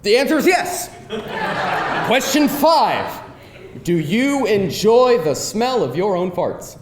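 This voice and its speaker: Man acting a cocky, animated high-voiced guy, echoey room. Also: takes it slowly, crowd noise, heavily acted. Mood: bossy, teasing. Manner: bossy, teasing game-show delivery. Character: cocky, playful man quizzing his date like a mock attorney. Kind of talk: live screenplay table read. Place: indoor room before a live audience. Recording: echoey live room, faint noise.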